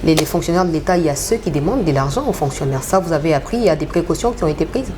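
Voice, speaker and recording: Woman, Congolese French accent, journalist, probing questions, lowish voice. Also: expressive, rapid-fire, noisy background. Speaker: journalist and interviewer. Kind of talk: documentary interview and presenting.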